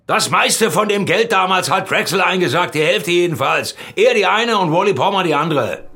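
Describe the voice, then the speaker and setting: An actor playing a bitter, rattled, cornered accomplice in a radio crime drama, with a gruff, irritable manner. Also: male voice, high-pitched, animated, moderate pace, sharp tone, faint music behind, exaggerated voice. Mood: furious outburst.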